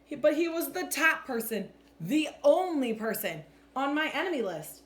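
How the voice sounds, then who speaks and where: A woman reading a storybook aloud like a teacher, expressively acting out the lines. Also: fairly high pitch. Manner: animated, clearly acts out lines. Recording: echoey room with faint background noise.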